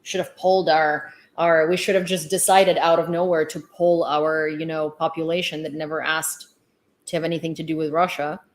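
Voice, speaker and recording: A woman's voice: low-pitched, little pitch movement, moderate pace, reverberant. A woman from Ukraine with a Ukrainian accent, giving casual livestream news commentary in an outspoken, emphatic way and repeating herself for stress. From Lviv, western Ukraine.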